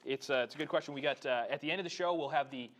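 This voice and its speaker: A man's voice: on the high side, rapid-fire, fairly bright tone. A man co-hosting a show, upbeat and joking, often laughing as he talks. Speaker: co-host.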